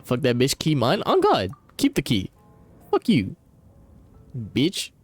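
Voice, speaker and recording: A man's voice: medium pitch, wildly swooping pitch, takes it slowly. A man doing casual, profanity-laced live game commentary and banter, with a blunt, teasing, slangy manner. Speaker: game streamer. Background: music.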